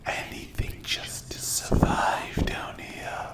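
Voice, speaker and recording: Slow, whispering, mocking demon, acted out by a performer. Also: natural voice. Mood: mocking, ominous. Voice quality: breathy whisper. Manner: slow, taunting, hushed storytelling. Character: mocking sleep paralysis demon, ancient, cruelly amused watcher. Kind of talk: whispered ASMR dark fantasy roleplay.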